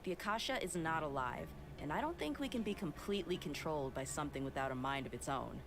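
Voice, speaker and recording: A confident game ally speaks in a very low female register. She is easygoing and assured with animated intonation, over clear background noise. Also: fast talker. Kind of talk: scripted anime game dialogue.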